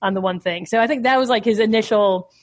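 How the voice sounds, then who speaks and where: A woman's voice: medium pitch, fast. A young woman hosting a podcast, chatting casually about a book with frequent filler words. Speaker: podcast host.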